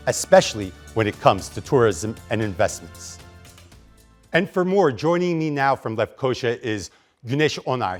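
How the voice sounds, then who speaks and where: A man in his forties hosting a news interview, asking direct, pressing questions. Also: mid-range voice. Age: 40s. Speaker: news show host.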